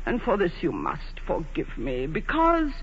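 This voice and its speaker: A woman's voice: fairly low pitch, huge pitch swings, measured pace, slight background noise. An actress playing a proud, aging English queen, imperious yet vulnerable, in stately 1940s radio theatre style. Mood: tender, pleading. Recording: muffled vintage 1940s radio broadcast.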